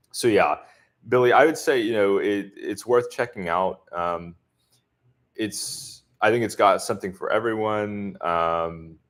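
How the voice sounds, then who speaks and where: A man from the southern US answering viewers' comments in a relaxed livestream chat, speaking with a deliberately neutral accent and many filler words. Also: low-pitched. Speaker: expat vlogger answering live chat. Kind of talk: casual livestream Q&A.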